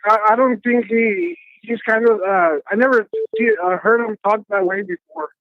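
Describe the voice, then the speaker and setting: A man in his fifties from Texas, calling in from his car while driving, speaking politely in halting sentences with many restarts. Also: sits high, no echo. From Texas, USA. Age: 50s. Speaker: caller. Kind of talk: phone call-in. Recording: dull phone line.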